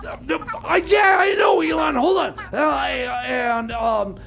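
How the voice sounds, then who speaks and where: A man's voice: very high voice, lively intonation, vocal fry, fairly bright tone, shouting, soft background music, full character voice. A man playing a flustered, pleading fellow who stammers and repeats himself through a business call in a comedy radio sketch. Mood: flustered, agitated. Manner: stammering, repetitive, halting.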